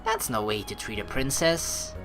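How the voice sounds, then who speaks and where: A young woman doing let's play commentary, reading game text aloud in an animated, playful, blunt and casual way. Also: extremely low pitch, moderate pace, soft background music.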